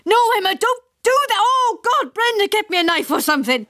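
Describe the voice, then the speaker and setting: A woman's voice: very high-pitched, wildly swooping pitch, moderate pace, fairly bright tone, pitched above the performer's own voice, full character voice. A woman voicing a panicky middle-aged father, frantic and shrieking in alarm. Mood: panicked.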